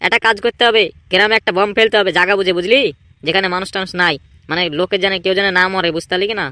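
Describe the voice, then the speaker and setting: Man voicing a high, rapid-fire bossy gang leader. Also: flat delivery, sharp tone, no room sound. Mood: bossy, scolding. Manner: scolding, emphatic, repetitive. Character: bossy, scheming, irritable male village gang boss. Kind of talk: exaggerated comedy cartoon dialogue.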